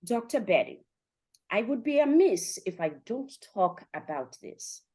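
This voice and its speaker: A woman's voice: mid-range voice, very animated, unhurried, warm tone, husky. A woman hosting a motivational interview, speaking in an emphatic, encouraging way and piling up repeated phrases. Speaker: podcast host and coach.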